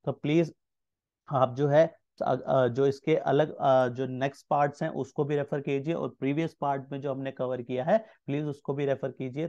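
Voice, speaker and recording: Male Indian presenter, thirties, mellow tone, explanatory tutorial delivery with repeated key phrases. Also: mid-range voice, highs cut off. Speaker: tax explainer and channel host. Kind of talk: explanatory lesson mixing Hindi with English terms.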